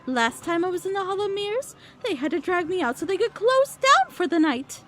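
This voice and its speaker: A woman's voice: extremely high pitch, sing-song, brisk, very noisy. A woman voicing an elegant, flirtatious female pony fashionista in a fan audio drama, with a genteel, theatrical delivery. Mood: teasing.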